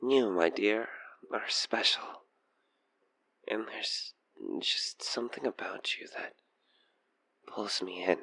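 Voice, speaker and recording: A man acting an obsessed, possessive stalker killer in an audio roleplay, teasing, coaxing and menacing, with breathy, intimate delivery. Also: on the low side, takes it slowly, pauses often, sharp tone, dry recording, heavily acted, rough recording. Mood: infatuated, intimate. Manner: theatrical, teasing, intimate, dropping into breathy near-whispers. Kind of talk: audio roleplay monologue spoken to the listener over a phone call.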